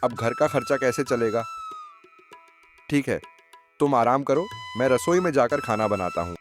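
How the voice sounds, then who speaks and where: A man's voice: mid-range voice, lively intonation, normal speed, music in the background, lightly acted. A man voicing a shrewd farmer husband in a moral story cartoon, theatrical and plaintive. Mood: reassuring, plaintive. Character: shrewd farmer husband feigning injury, patient.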